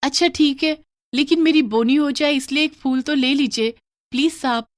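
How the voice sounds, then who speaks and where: A woman's voice: high voice, normal speed, dry recording, studio quality, pitched above the performer's own voice, lightly acted. A woman voicing a young flower seller in a story, pleading and coaxing as she offers her wares. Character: pleading young flower-selling girl on the roadside.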